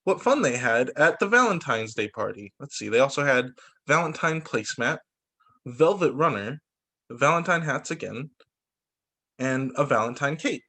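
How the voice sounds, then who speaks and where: Lively male teacher reading aloud. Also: medium pitch, moderate pace. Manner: animated, stops to explain to kids. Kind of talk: reading picture books aloud to children. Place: home over a video call. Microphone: laptop mic on video call.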